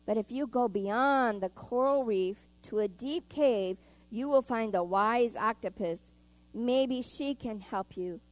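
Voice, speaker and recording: A woman voicing a calm, helpful starfish from a children's storybook, kind and steady. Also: a little high, slow.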